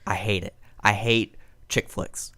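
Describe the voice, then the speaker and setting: A man's voice: fairly low pitch, flat delivery, a little slow, pushed and bright. A man hosting a radio show, chatting casually in a rambling, teasing way. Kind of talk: casual chat. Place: radio studio.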